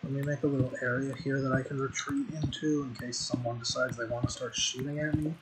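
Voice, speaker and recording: A man's voice: mid-range voice, flat delivery, brisk. A young man giving casual, rambling commentary while playing a game, with frequent ums and restarts. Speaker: gaming video creator. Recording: noisy home recording with mouse clicks.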